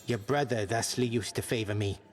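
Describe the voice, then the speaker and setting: An actor playing a gruff, joking male skeleton commander who trains fighters and mixes lofty old words with slang, delivered deadpan. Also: lowish voice, a little fast, long reverb tail, faint music behind, natural voice. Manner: deadpan jokes mixing archaic and slang words. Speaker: combat trainer.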